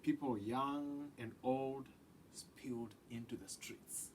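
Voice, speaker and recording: A man reading a children's picture book aloud with deliberate, dramatic phrasing that drops into hushed tones. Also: mid-range voice, steady tone, slight background noise. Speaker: read-aloud storyteller.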